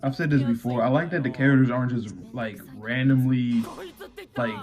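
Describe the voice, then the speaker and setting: A man's voice: medium pitch, fairly even intonation, normal speed, darkish tone. Guy chatting casually over an anime, slangy n laid back, bit of music in the background. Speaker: anime reaction host. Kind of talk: casual reaction chat.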